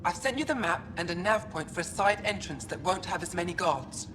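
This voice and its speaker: An actress playing a brisk, practical alien mother and code-breaker in a video game, dry and businesslike. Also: lowish voice, animated, noisy background, lightly acted. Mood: focused, urgent.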